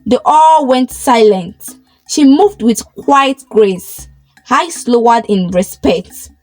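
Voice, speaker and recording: A woman narrating a scripted folktale in a dramatic, expressive way. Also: fairly high pitch, slow pace, no echo, faint music behind, poor audio. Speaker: storyteller, narrator.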